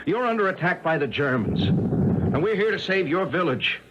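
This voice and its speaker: A man's voice: a little high, sing-song, moderate pace. A man playing a plain-spoken, commanding American army sergeant in a 1970s radio drama. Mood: indignant. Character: American army sergeant, reasonable, reluctant drafted soldier. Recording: dull, noisy old radio broadcast.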